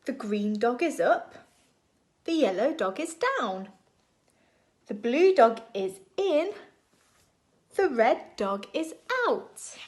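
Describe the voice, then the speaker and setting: A woman reading a picture book aloud to young children in an expressive, deliberate storytime style. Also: fairly high pitch. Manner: expressive, animated, deliberate phrasing for small children.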